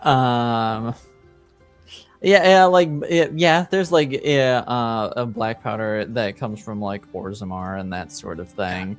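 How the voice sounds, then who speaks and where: Slow-paced man running a tabletop game. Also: medium pitch, smooth, soft background music. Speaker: game master. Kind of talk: casual tabletop game narration.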